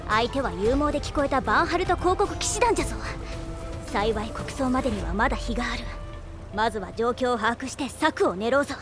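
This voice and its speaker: Woman voicing a high-pitched bossy little creature. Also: expressive, brisk, over music, close to an everyday voice. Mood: commanding. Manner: imperious, old-fashioned elderly turns of phrase. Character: bossy little female creature companion with old-timer speech. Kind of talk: Japanese anime game voice acting.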